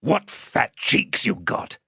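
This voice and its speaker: A man voicing a menacing, rough convict in a storybook, with threatening, coarse rustic speech. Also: takes it slowly, pushed and bright, dry recording, studio quality. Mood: gloating menace.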